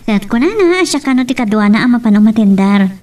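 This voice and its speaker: A woman's voice: on the high side, animated, a little fast, a little mellow, recorded from a distance, natural voice. A woman playing an earnest, friendly single mother talking in an Ilocano drama, with an Ilocano accent. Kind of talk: Ilocano radio-style serial drama acting.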